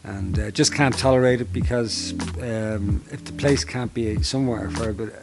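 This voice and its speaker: A middle-aged Dublin man who manages a homeless service, answering interview questions earnestly with many halting restarts. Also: medium pitch, steady tone, vocal fry, over music. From Ireland.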